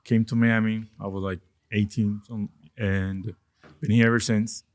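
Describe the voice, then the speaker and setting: A man from Honduras, a podcast guest, telling family stories in a relaxed, casual way with occasional laughs. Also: fairly low pitch, measured pace. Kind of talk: casual storytelling.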